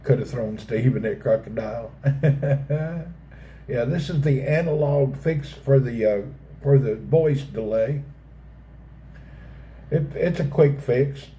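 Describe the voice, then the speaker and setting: A middle-aged man with a Southern American drawl hosts his own live stream, joking with viewers and cussing casually. His voice is mellow and dark, not bright. A faint background hum runs under his talk. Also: medium pitch, slow. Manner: folksy, joking, reads chat aloud, swears casually. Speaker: livestream host.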